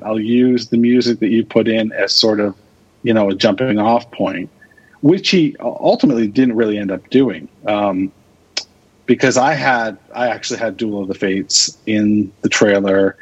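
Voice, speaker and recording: A man giving casual interview answers as a podcast guest, thinking aloud in halting fragments. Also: fairly low pitch, slightly rough. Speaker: podcast guest, radio drama creator and producer. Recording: dull lo-fi audio.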